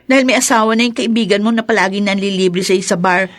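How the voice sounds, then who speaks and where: A woman's voice: medium pitch, fast, no room sound, studio quality, close to an everyday voice. A woman playing a sassy girlfriend in a radio drama who teases her boyfriend with playful exclamations. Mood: teasing.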